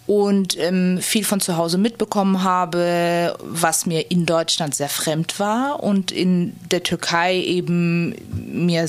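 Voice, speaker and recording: A woman speaks as an interviewee in a slightly low voice. She reflects on her own life hesitantly, often searching for the right words. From Germany. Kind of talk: reflective personal account in an interview.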